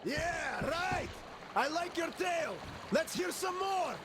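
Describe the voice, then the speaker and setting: A man's voice: extremely high pitch, expressive, takes it slowly, background noise, exaggerated voice. An actor playing a blunt, defiant, fed-up crewman in a post-apocalyptic video game. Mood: mocking. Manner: blunt, sarcastic. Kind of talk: video game cutscene acting.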